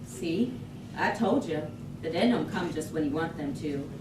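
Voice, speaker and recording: An actress in a staged reading playing a blunt, skeptical but loyal sister. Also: female voice, deep voice, expressive, measured pace. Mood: scolding. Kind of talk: staged reading, dramatic dialogue. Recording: echoey room, distant mic, noisy.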